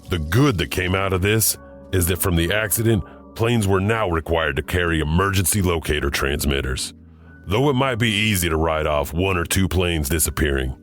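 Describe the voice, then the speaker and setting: A male host narrates scripted tales in a very low voice, keeping a conversational, slightly wry edge. Soft music runs underneath.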